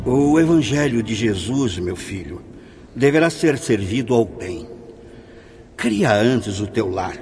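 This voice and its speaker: A man's voice: mid-range voice, lively intonation, takes it slowly, darkish tone, faint music behind. An actor playing a devout village priest who gives fatherly counsel in a solemn, preaching manner in a radio drama.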